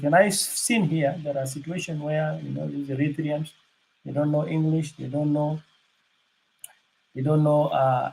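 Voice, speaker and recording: An older man from South Sudan giving unscripted panel remarks, halting, with many fillers and restarts. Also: a little high, gravelly, darkish tone, slightly rough, rough recording.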